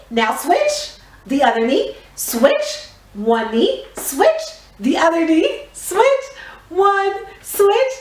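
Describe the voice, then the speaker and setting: A woman teaching a theatre warm-up to young children, giving encouraging, clearly phrased step-by-step instructions. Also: sits high. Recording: roomy echo, distant microphone.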